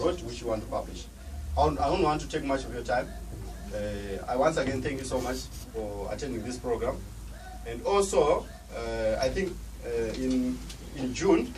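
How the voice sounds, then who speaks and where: A man giving closing remarks at an event, hesitant, with many fillers. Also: mid-range voice. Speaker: event organizer. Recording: distant mic, clear background noise.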